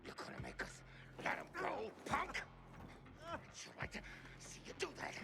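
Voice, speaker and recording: A shrieking gravelly gang thug. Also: male voice, extremely high pitch, wildly swooping pitch, normal speed, very noisy, exaggerated voice. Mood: taunting. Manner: taunting, shouting. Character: brutal shouting male gang thug. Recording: game cutscene with loud music.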